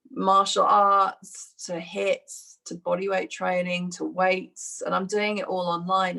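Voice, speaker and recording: A woman's voice: medium pitch, creaky, fairly bright tone. A woman, a fitness instructor, chatting reflectively in an interview with many fillers and restarts. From United Kingdom. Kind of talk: casual interview chat.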